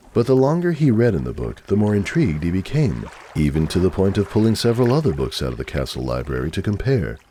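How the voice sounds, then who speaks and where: A man's voice: low voice, darkish tone, a little hiss or hum. A man narrating a story from a script as a dramatic reading.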